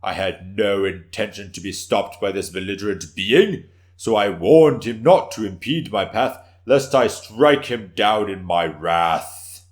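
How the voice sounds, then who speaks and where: A man's voice: lowish voice, unhurried, lightly acted. A man performs a proud, defiant samurai warrior in a storybook tale. He declaims in grand, old-fashioned language, theatrical and bombastic, as if addressing a whole hall. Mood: boastful. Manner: declaiming, bombastic, archaic formal speech.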